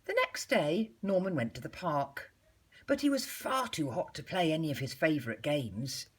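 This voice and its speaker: A woman reading a storybook aloud to young children like a schoolteacher, in an expressive, measured way with deliberate pauses. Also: low-pitched, husky.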